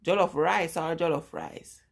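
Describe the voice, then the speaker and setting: A Jamaican woman in her forties talks straight to her viewers in a relaxed live-stream chat. Her voice sits a little low, and she drives her points home by repeating them with emphasis. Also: measured pace, vocal fry. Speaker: vlogger.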